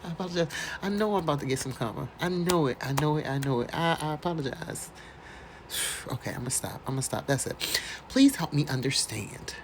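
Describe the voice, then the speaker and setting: A woman's voice: deep voice, brisk, slight background noise. An American woman doing a dramatic read-aloud with casual, mocking asides and exclamations, in a theatrical manner. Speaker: host doing a dramatic reading.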